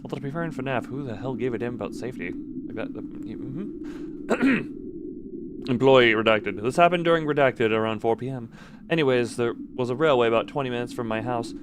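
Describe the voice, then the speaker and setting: A man's voice: medium pitch, normal speed, noisy background. A man doing casual game livestream commentary and reading game text aloud, often tripping over words and correcting himself. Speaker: game streamer.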